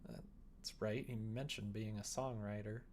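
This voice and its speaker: A man's voice: on the low side, steady tone, measured pace, darkish tone, a little hiss or hum. A man voicing a polite, apologetic visual novel protagonist who manages idols, mild and earnest. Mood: gentle.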